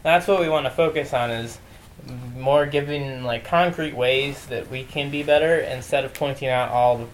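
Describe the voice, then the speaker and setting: A man's voice: mid-range voice, normal speed, slight background noise, low-quality recording. A man of thirty talks in a loose, conversational way. He co-hosts a casual chat with a light joking streak. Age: 30s. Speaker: podcast co-host.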